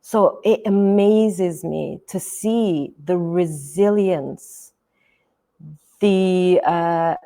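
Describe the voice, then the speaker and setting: Slow-talking Turkish woman educator. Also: on the low side, dark tone. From Turkey. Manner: thoughtful, many fillers, repeats "et cetera". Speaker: guest educator. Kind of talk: podcast interview.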